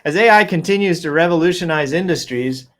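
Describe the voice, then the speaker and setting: A man with a slightly high voice hosts a loose, improvised show. He reads social posts aloud, slipping into mock-announcer flourishes and wry asides as he comments on them. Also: animated, a little fast.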